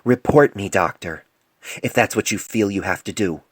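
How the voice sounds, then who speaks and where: A man voicing a stern, grieving middle-aged female pony doctor in an audio storybook, grave and measured, on an amateur phone recording. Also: on the low side, breathy edge, no room sound, studio quality. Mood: firm. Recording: noisy amateur cell phone mic recording.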